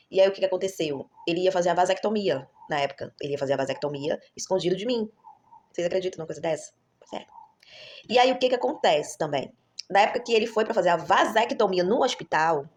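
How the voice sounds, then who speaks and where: A woman, chatting to her viewers in a rambling vlog style and correcting herself often. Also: medium pitch. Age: 30s. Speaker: vlogger, mother. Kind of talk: casual vlog storytelling.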